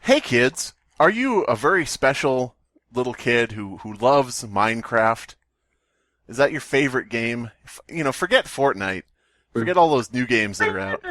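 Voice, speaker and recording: A man's voice: medium pitch, animated, moderate pace, fairly bright tone, no room sound. A man hosting a podcast in casual, comedic, riffing chat. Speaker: podcast host.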